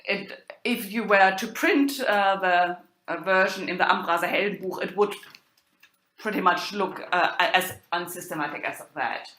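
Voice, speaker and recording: A woman lecturing informally as an academic, explaining material in a halting, hesitant way with frequent filled pauses. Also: mid-range voice, pushed and bright. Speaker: university lecturer in medieval German literature. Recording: echoey, roomy sound.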